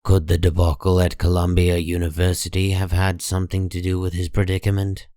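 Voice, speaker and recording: A man reading dramatic comic-book narration in a grave, measured and deliberate manner. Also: deep voice, monotone, warm tone, no room sound, studio quality.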